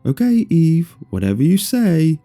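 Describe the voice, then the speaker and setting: A man's voice: fairly high pitch, wildly swooping pitch, unhurried, mellow, no room sound, soft background music, voice pushed up, full character voice. A man voicing a meek, downtrodden, obedient husband puppet in a horror storybook reading. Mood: meekly obedient. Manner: submissive, self-abasing.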